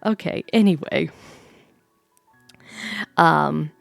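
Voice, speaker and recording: Creaky-voiced woman streamer reading a bedtime story. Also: deep voice, drawn-out words, no room sound. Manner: playful, reacting aloud to the story. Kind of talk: storybook reading with casual livestream asides. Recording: close dry voice over background music.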